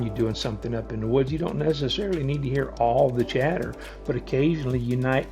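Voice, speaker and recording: A man giving a casual hands-on product demonstration in a plainspoken, step-by-step explanatory way. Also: mid-range voice. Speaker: product reviewer.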